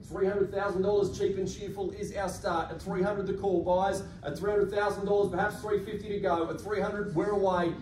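High-pitched Australian male auctioneer, echoey room. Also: steady tone, a little fast, few pauses, a little mellow. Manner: projected, polished salesman patter. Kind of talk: live property auction calling. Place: large carpeted indoor function room. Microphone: far camera across the room. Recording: echoey room, distant mic, faint noise.

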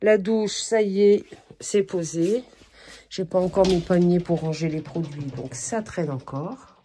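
A middle-aged woman chats informally as she shows viewers around her home. Her voice sits on the low side and is very soft-edged and mellow. It is an everyday indoor recording with faint noise behind it. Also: measured pace. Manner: informal, chatty, talks to her pet in passing. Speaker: vlogger giving a house tour. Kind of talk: casual home-tour chat to camera. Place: indoors, walking through house rooms.

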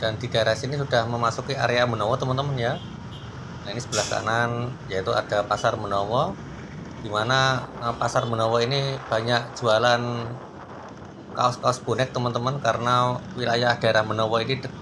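A man's voice: medium pitch, level tone, moderate pace, long pauses. A man giving a casual running commentary while riding a motorbike through city traffic, often addressing his viewers as friends. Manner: chatty, keeps addressing friends, frequent fillers. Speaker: motovlog host. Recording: road traffic noise behind. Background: engine and traffic noise.

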